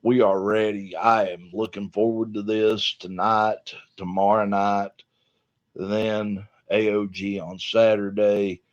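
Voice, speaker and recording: Man, podcast co-host, slow folksy drawl, dull lo-fi sound. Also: lowish voice, level tone. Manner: folksy, drawn-out delivery. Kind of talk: casual wrestling talk show.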